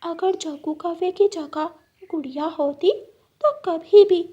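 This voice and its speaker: Woman voicing a sly high-pitched greedy fox. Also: slow, highs cut off. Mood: taunting. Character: sly greedy female fox scheming to eat a goat. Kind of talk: cartoon story dialogue.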